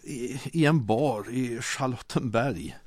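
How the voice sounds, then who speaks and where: A man's voice: mid-range voice, darkish tone, no room sound, clean studio sound, lightly acted. An actor playing a glum, worried technical expert in a comic radio drama, hesitant and defeatist as he speaks.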